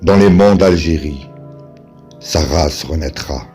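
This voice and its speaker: A man solemnly reciting poetry in a measured, declamatory way with dramatic pauses. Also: a bass voice, dark tone, husky. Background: music.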